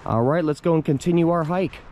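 A man's voice: on the high side, fairly quick, a little mellow, a little hiss or hum. A young man casually narrating his hike through a wooded park, admiring the views and reading a plaque aloud, recorded on a handheld camera outdoors. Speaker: hiking vlogger. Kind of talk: casual hiking vlog narration.

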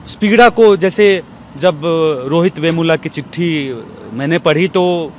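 A male interviewer speaks in a high-pitched voice into a handheld mic. His questions are reflective and wander a little as he searches for words. Faint outdoor noise sits behind him. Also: a little slow. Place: outdoors in an open courtyard. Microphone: handheld small microphone.

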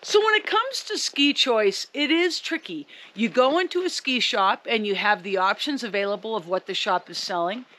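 A woman's voice: on the high side, lively intonation, normal speed, hardly any pauses. A woman ski coach speaking emphatically to camera outdoors in the snow. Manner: emphatic, upbeat, loud. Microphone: camera a few meters away.

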